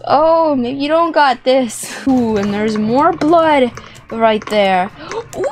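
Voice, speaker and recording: A young woman giving excited, playful gameplay commentary, animated and exclamatory, often repeating words for effect. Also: high-pitched, takes it slowly. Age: young adult. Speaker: gaming video host. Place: small indoor room set up for streaming. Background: background music.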